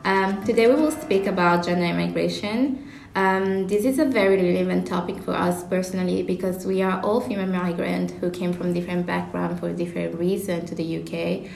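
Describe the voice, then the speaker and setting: A young woman with an Italian accent hosts a student discussion in a lowish, fairly even voice. She speaks haltingly, tripping over some words. The room is clearly echoey. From Italy. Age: young adult. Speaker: student host. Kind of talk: podcast discussion, semi-prepared presentation. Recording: echoey room with faint noise.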